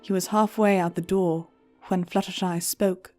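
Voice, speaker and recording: Woman reading an audiobook, mellow warm tone, bit of music underneath. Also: lowish voice, fairly even intonation, measured pace, dry recording. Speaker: audiobook narrator. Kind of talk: scripted story narration.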